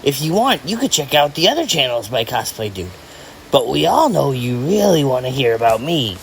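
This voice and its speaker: A man's voice: mid-range voice, big pitch swings, normal speed, gravelly, faint music behind, rough recording. A man playing a boastful, self-important young pirate sniper in a showy audio drama promo.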